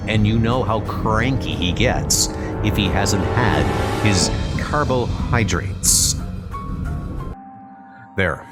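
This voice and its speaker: Man playing a slow-spoken smug supervillain. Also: lowish voice, animated, loud music behind the voice. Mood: sardonic. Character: smug, scheming male supervillain mastermind. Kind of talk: comic book dub.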